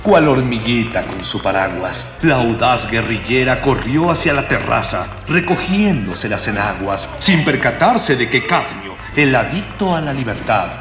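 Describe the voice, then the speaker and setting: A man's voice: mid-range voice, very animated, a little slow, few pauses. A man narrating a radio serial in a pompous, mock-epic manner. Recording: echoey long reverb over music.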